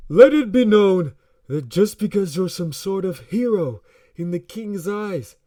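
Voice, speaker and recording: Sneering high-pitched guard. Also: male voice, expressive, no room sound, studio quality. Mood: mocking. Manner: taunting, drawn-out delivery. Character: sneering, mocking male royal guard in armor. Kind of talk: theatrical audio drama acting. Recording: loud background noise.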